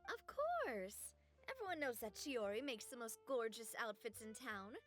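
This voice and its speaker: A fiery, lively young boss. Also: female voice, a little high, soft background music. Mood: confident. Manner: animated and protective, quick to anger, laughs it off. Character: bold young female gang leader, fiercely loyal. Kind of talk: game voice acting.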